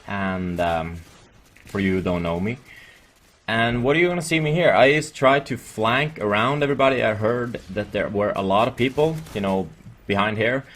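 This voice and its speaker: A man's voice: on the low side, normal speed, fairly bright tone. A man from Sweden with a Swedish accent gives casual, rambling and jokey commentary over gameplay. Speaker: gaming video creator.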